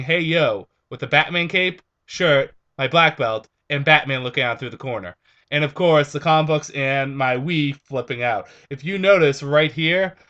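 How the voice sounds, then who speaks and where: Bright-voiced young man, slightly raspy. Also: a little high, lo-fi sound. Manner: rambling, restarts. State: stuffed up from allergies. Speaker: vlogger. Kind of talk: casual vlog chat. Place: at home.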